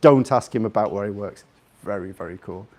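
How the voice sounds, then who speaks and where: A man's voice: fairly low pitch, brisk. A man with a slight Liverpool accent giving an informal, jokey, rambling live talk, putting questions straight to his audience. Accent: slightly Scouse (Liverpool). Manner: chatty, jokey, rambling, puts questions to listeners. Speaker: guest speaker. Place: live in a room in front of an audience.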